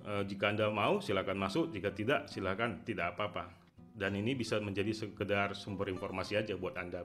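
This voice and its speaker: A man's voice: mid-range voice, a bit bright. Man, casual commentary over guitar music. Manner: conversational, repeatedly tags phrases with "ya". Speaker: crypto video host.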